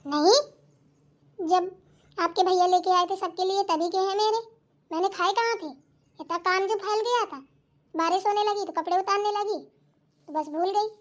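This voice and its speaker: A woman's voice: very high voice, fairly even intonation, normal speed, pauses often. A woman playing a stubborn, put-upon young daughter-in-law in a family comedy skit, grumbling and arguing with sarcasm. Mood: sulky grumbling.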